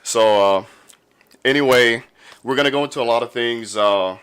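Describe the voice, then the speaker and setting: A man's voice: medium pitch, normal speed, a bit bright. A man hosting a podcast in a casual, laid-back, informal interview style. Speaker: podcast host.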